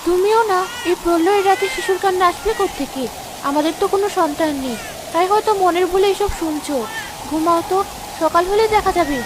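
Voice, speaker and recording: A woman voicing a fretful village wife and anxious mother in an expressive cartoon drama. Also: very high-pitched, fairly quick, background noise. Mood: fearful, scolding.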